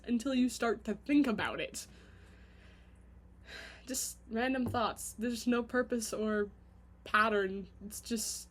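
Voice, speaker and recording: A young woman with a Canadian accent rambles to her camera at home, chatty and self-mocking. Her voice sits high, and quick giggly asides break up her talk. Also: a bit bright. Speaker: vlogger. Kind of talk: rambling casual monologue to camera.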